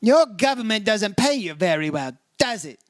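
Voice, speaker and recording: A high-pitched male private investigator played by an actor in old-time radio style. He is brash and shrewd, talking in clipped slang like a man who has the case figured out. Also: very animated, normal speed, dry recording, studio quality. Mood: accusing.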